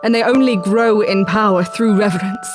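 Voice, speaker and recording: An actress playing a cautious adventurer companion in a fantasy game, serious and deliberate. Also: female voice, mid-range voice, close to an everyday voice. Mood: grave warning. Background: mallet game music.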